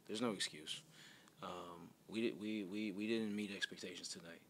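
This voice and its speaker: A man in his thirties, a basketball coach answering questions at a postgame press conference, measured and repeating himself with many pauses, speaking into a table mic in a press room. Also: low voice, fairly even intonation, fairly bright tone, a little hiss or hum. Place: press room in front of a sponsor backdrop. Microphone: table mic in front of him.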